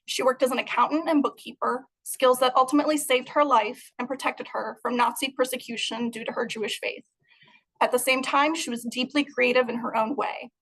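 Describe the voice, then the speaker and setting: A woman's voice: high voice, a bit bright, rough recording. A young adult woman from Moldova, giving an earnest, prepared talk about her art over a video call. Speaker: guest artist.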